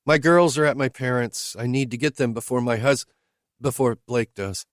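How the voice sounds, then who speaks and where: A man's voice: mid-range voice, normal speed, no room sound, pitched above the performer's own voice, natural voice. Man voicing a young woman, late 20s, direct, questioning, audiobook character voice, dry sound. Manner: blunt, straight to the point.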